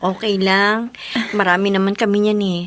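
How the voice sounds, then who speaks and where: An actress playing a chatty, generous neighbour in a Filipino radio drama, casual and familiar, with a Filipino accent. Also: female voice, mid-range voice, flat delivery, normal speed, fairly bright tone, close to an everyday voice. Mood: friendly. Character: generous, chatty, inquisitive female neighbour and friend.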